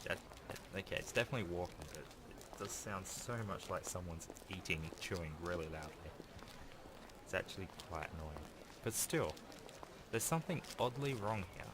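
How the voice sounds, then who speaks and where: A man's voice: sits low, expressive, normal speed, background noise. A man reading a visual novel aloud with casual, rambling, dry asides as a gaming host. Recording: music behind the voice. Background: game music.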